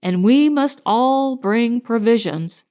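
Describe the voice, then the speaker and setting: A woman acts out a young boy from a children's storybook. He is carefree and sure of himself, taking charge in a high, unhurried voice. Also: expressive, mellow. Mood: carefree, confident. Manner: careless, authoritative. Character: confident, carefree young boy leading an expedition.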